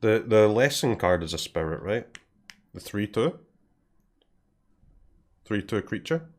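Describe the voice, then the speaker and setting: A Scottish man talking through his game as he plays, in a lowish voice. He thinks out loud, trails off into quiet mutters and keeps clearing his throat. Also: lively intonation, slow pace. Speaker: game streamer. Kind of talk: casual live commentary while playing.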